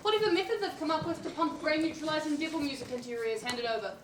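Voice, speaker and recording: A woman's voice: sits high, rapid-fire, natural voice. A woman playing a crotchety, bossy older man who scolds in folksy turns of phrase in a comedic play. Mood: scolding. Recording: echoey noisy room from far off.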